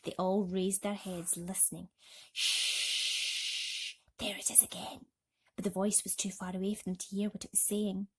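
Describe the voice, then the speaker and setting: A woman storyteller reading a children's bedtime story dramatically and expressively, with emphatic pauses and swells. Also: medium pitch, level tone, mellow, slightly rough.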